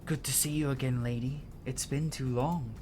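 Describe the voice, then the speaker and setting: A man's voice: medium pitch, fairly even intonation, moderate pace, music in the background. A man doing casual let's-play commentary, informal and sweary, reading game dialogue aloud as he plays. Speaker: game streamer.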